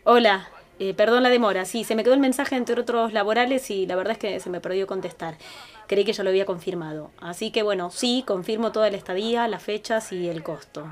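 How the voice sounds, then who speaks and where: Una actriz con acento rioplatense interpreta a una madre y esposa de mediana edad, apurada y dada al reproche, con actuación naturalista de ficción sonora. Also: female voice, medium pitch, brisk, close to an everyday voice. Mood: casual, evasive. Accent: Rioplatense Argentine. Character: harried, reproachful mother and wife of a busy household. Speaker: actress in an audio fiction. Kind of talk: naturalistic audio drama acting.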